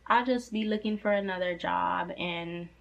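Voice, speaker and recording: A woman in her twenties with a slightly hoarse voice, talking earnestly and casually to camera about personal finance, leaning on fillers like "like" and "you know". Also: fairly low pitch, normal speed, fairly bright tone, muffled recording. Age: 20s.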